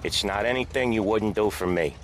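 An actor playing a cool, menacing, seasoned mob enforcer in a crime drama game. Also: male voice, on the low side, a little fast, a little hiss or hum, natural voice. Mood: cool, advising. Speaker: video game character. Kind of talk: cinematic crime drama acting.

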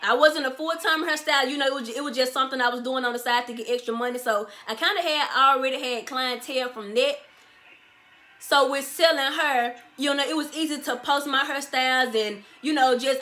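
A twenty-year-old woman from Memphis with a Southern accent, talking straight to camera in an animated, slangy way and repeating herself for emphasis. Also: sits high, brisk. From Memphis, Tennessee. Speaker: vlogger, small business owner. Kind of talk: casual Q&A vlog to camera. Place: bedroom, sitting on a bed, indoors.

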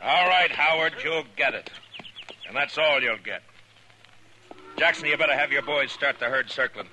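An American actor playing a stern, fair, world-weary frontier marshal in a 1950s radio western, terse and authoritative. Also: male voice, fairly high pitch, normal speed, sharp tone. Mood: firm. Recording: noisy vintage 1950s radio recording.

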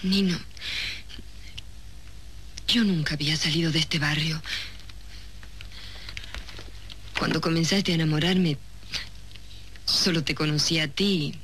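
An actress playing an ambitious young woman in a 1970s soap opera, speaking in a deliberate, dramatic way. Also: sits low, fairly bright tone. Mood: regretful. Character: ambitious, cold young woman from a humble neighbourhood. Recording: noisy old 1970s TV soundtrack.

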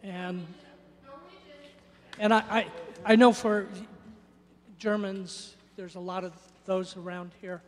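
Older man with a high voice in a church. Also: flat delivery, takes it slowly, pauses often. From Norway. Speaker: church member giving a testimony. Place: church sanctuary. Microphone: handheld mic. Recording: church hall reverb.

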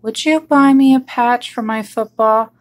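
Female storybook goose, bewildered, pleading, high-pitched, stretched words played by a woman. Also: unhurried, lightly acted.

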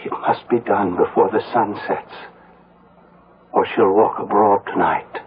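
An actor playing a solemn, fearful, superstitious village man in a radio mystery, with a grave, deliberate delivery. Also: on the low side, steady tone, breathy edge. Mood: grave, foreboding. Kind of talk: 1970s radio mystery acting. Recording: muffled, narrow-band old radio broadcast.

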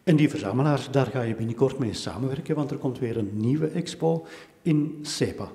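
A man's voice: mid-range voice, moderate pace, dark tone. A man, putting prompts and comments as an interviewer in an echoey room. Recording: echoey room with long reverb.

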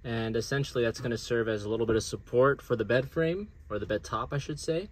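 A man's voice: medium pitch, quick pace. A middle-aged man giving casual how-to narration outdoors, informally thinking aloud as he explains. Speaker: DIY vlogger. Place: outdoors in a residential driveway. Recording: clear background noise with clicks.

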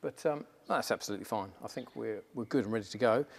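A man's voice: fairly low pitch, fast, gravelly, husky. A man in his forties, co-hosting a van build and explaining the fitting in an easygoing, practical way, in a large indoor workshop. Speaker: van conversion co-host. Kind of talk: casual workshop chat.

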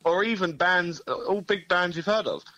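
Bright-voiced easygoing British band guy. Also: high voice, normal speed, no room sound, highs cut off. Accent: British English. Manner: easygoing, laid-back, repeats phrases. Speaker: band member guest. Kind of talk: casual radio interview.

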